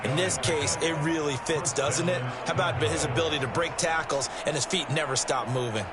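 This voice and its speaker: Football game analyst, male actor: American, higher-than-average pitch, conversational and opinionated, heavy noise behind him. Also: fairly quick, natural voice. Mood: conversational, analytical. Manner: conversational, explanatory. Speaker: colour commentator, football analyst. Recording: loud game sound behind the voice.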